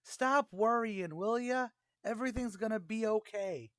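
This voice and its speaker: A man's voice: sits high, unhurried, very noisy. A man playing a scheming, wisecracking tavern manager in an old-time radio comedy, talking in streetwise slang. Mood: placating.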